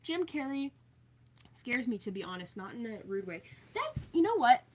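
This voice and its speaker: A woman's voice: on the high side, big pitch swings, moderate pace, a little mellow, a little hiss or hum. A woman from Maryland chatting casually on a live stream, reading viewer comments aloud in a playful, chatty way. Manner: chatty and playful, with many fillers and asides.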